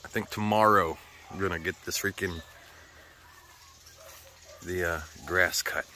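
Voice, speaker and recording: A man's voice: low voice, fairly even intonation, unhurried, bright, forceful tone, a little hiss or hum. An American man with a slightly sore throat, rambling casually and swearing often as he chats for a vlog. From United States. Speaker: vlogger. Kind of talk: casual chat.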